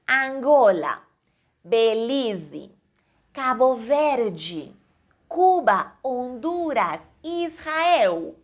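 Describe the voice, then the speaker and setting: A woman from Colombia, teaching an online language lesson slowly, with clear, careful enunciation. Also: on the high side. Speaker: Portuguese teacher.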